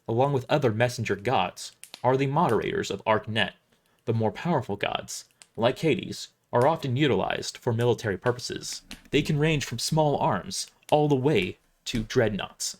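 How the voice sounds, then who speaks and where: A man reading first-person science fiction narration live, now and then stumbling over the script and rereading words. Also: mid-range voice. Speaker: storyteller.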